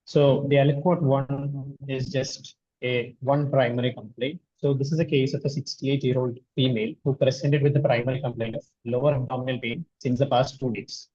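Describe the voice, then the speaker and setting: A young man from India, a medical student presenting a case in an earnest, measured way over a video call. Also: mid-range voice, fairly even intonation, a little mellow, breathy edge, dull audio.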